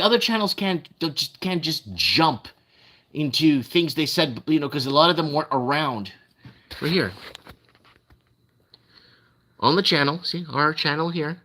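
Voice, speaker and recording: A man's voice: on the high side, a bit bright. A man whose first language is Portuguese, hosting a casual live-stream news commentary, rambling and repeating himself. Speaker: host.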